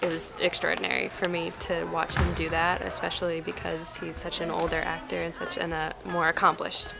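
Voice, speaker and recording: A woman's voice: lowish voice, flat delivery, brisk, vocal fry. A young woman, a stage actress, talking in an interview. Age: young adult. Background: loud music.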